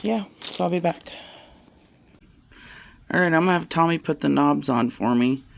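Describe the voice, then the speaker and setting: A woman's voice: low voice, vocal fry, slight background noise. A woman with a Southern US accent talks casually and folksily as she demonstrates a hands-on DIY job, filmed on a handheld camera. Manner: folksy, unhurried, murmuring along while working. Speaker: DIY vlogger.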